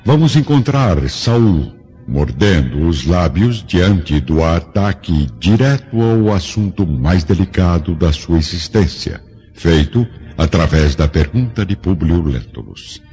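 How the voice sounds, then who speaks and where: Deep, solemn male radio drama narrator. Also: very animated, takes it slowly, dark tone, breathy edge. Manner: solemn, theatrical. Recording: dull lo-fi audio with music.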